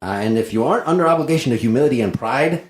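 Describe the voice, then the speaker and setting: A man's voice: medium pitch, lively intonation, very fast, close to an everyday voice. A man playing a cheeky, cowardly comic servant, glib and wry in a play read-through. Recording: roomy, echoey sound.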